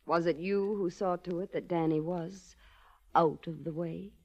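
An actress playing a cool, seductive, commanding crime boss in a 1940s radio drama, poised and teasing. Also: female voice, lowish voice, steady tone, a little slow, a little mellow. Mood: cool, challenging. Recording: dull, lo-fi 1940s radio recording.

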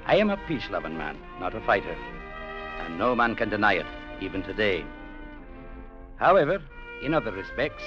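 An actor playing an earnest, peace-loving young Scottish man telling his adventure in a formal, measured radio-drama manner. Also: fairly low pitch, lightly acted. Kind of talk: 1940s-50s radio drama acting. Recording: muffled old radio recording, music behind.

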